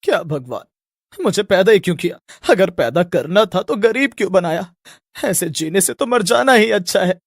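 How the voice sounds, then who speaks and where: A brash, bitter young village lad, played by a man in a storybook drama. His speech is blunt and dramatic, higher-pitched and full of lively swings, in a dry recording. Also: moderate pace, no room sound. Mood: despairing.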